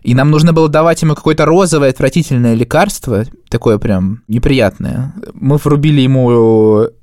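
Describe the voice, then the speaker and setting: A man's voice: medium pitch, fairly quick, darkish tone, no room sound. Male podcast co-host, mid-range voice, conversational, dry recording. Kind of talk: casual chat, recollections.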